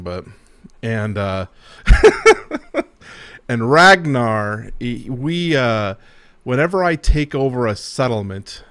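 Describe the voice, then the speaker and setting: Man, 30s, remote guest on headset, unhurried, dry close sound. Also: mid-range voice, a bit bright, no room sound. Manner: unhurried, rambling, self-amused. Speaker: guest player. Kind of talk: casual board game chat. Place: home gaming room, indoors. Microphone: headset on video call.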